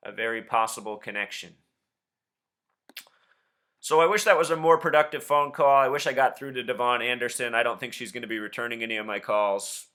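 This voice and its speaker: A man's voice: medium pitch, a little fast, sharp tone, clean tone. A man with an insistent, suspicious manner, speaking as an online host and caller in conspiracy commentary. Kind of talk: conspiracy commentary and confrontational phone questioning.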